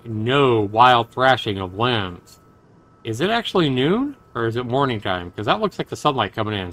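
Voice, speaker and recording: A man's voice: medium pitch, sharp tone. A man livestreaming a game, mixing commentary with dramatic reading aloud as host. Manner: profane, exclamatory reactions.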